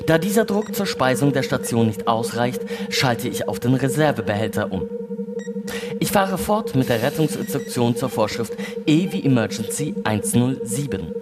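A man's voice: medium pitch, lightly acted. A man playing an unflappable space station computer in a radio play, reciting regulations in formal, official phrasing. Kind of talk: radio play reading, scripted announcements.

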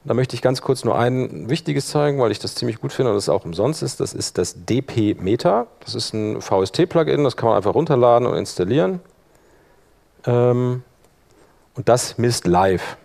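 A man presenting a live workshop, explaining software in a colloquial, hands-on way. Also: medium pitch, lively intonation, normal speed, gravelly. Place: live workshop in front of attendees.

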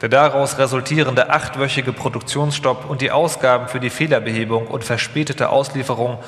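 Man, medium pitch, formal factual narration, scripted live feature, very echoey large hall. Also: steady tone, a little fast, fairly bright tone. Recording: echoey hall, long reverb.

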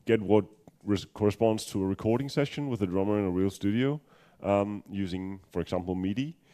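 A man's voice: fairly low pitch, a little fast, dark tone. A man from Denmark with a Danish accent giving a technical conference talk, hesitant with many ums and restarts and a dry humor. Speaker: conference speaker.

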